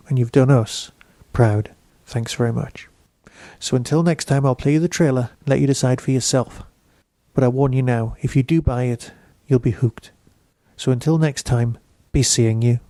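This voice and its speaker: A man delivers a scripted review as a podcast host. His voice is mellow and relaxed at a middling pitch, recorded dry and close. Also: dry recording.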